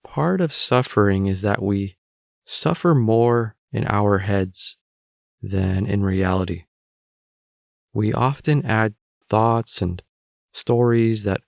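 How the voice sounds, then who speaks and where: A man guiding a meditation in a calm, unhurried, instructional manner, leaving long pauses between phrases. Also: lowish voice, gravelly, dry recording, clean studio sound. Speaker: meditation guide. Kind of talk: guided meditation narration.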